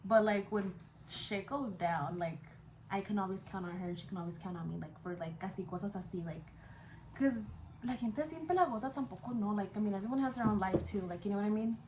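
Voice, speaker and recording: A woman's voice: medium pitch, fast, a little mellow, slight background noise, rough recording. A young woman in a casual chat with a friend, swearing easily and mixing English with Spanish. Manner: code-switches between English and Spanish. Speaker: vlogger chatting with her best friend.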